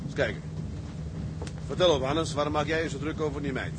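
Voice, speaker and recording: Guy doing a no-nonsense ship captain, highish voice, noisy radio drama. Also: fairly even intonation, fairly quick, close to an everyday voice. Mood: dry. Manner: commanding. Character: commanding, no-nonsense ship's captain. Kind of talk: audio drama acting. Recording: clearly noisy audio track.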